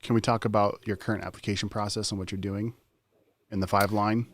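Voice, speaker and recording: A man co-hosting a live motivational stream, cheering his partner on and pushing him with questions in a relaxed chat. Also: on the low side, fairly quick. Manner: encouraging, prods his co-host with questions. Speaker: co-host of live motivational show.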